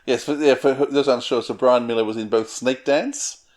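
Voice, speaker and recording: A man's voice: mid-range voice, steady tone, normal speed, darkish tone. A man interviewing a guest in a casual, conversational and slightly hesitant way. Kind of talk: casual interview questions and commentary.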